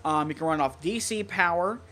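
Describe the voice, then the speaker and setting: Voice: medium pitch, brisk, a crowd in the background. An American stream co-host chatting casually and in technical detail, rambling through features with firm opinions. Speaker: stream co-host, weather radio collector.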